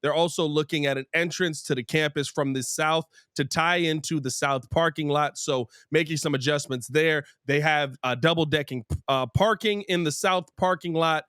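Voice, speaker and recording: An American man talks sports as a podcast host in a fairly high voice. He is casual but emphatic, often putting his opinions as rhetorical questions. The sound is very dry, with no room echo. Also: moderate pace, few pauses, fairly bright tone, smooth.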